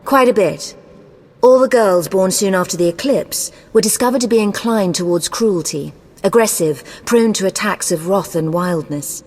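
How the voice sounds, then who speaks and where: A woman's voice: mid-range voice, moderate pace, a little mellow, lightly acted. A woman playing an imperious, ruthless sorceress in a video game, haughty, formal and commanding. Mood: clinical, detached. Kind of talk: scripted video game dialogue. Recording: long cavernous reverb.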